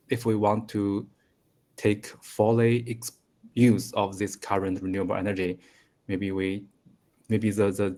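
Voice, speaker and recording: A man in his thirties whose native language is Mandarin, speaking English slowly in a conversation lesson with many fillers and restarts. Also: sits low, a little mellow. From China. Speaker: engineering student.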